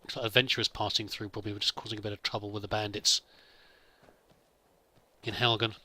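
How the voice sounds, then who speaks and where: Bright-voiced man narrating a game roleplay. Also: on the low side, little pitch movement, fast talker, slightly rough. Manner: musing aloud, addressing a companion. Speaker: let's play host. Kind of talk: improvised in-character game commentary.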